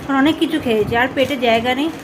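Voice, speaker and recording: Female vlogger, high voice, informal and chatty, recorded amid clear vehicle and street noise. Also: rapid-fire. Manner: addresses viewers informally. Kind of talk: casual chatty vlog narration. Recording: noisy outdoor and vehicle background.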